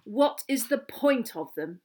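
A woman puts on a cold schoolboy bully from a storybook. He drawls his words out slowly, sneering and sarcastic at every turn. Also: a little high, expressive, dark tone. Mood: cold, disdainful.

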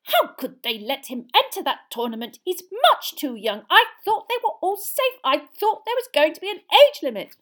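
A woman's voice: big pitch swings, moderate pace, few pauses, a bit bright, exaggerated voice. A woman voicing an anxious, fretting mother as mimicked by her son, overwrought, in an audiobook. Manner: high-pitched, overwrought fretting. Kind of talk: audiobook character voice.

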